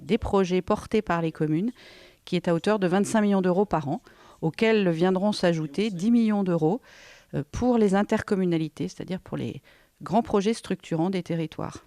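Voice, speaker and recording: A woman's voice: on the low side, normal speed, warm tone, no echo. A woman explaining policy as a local official in an interview.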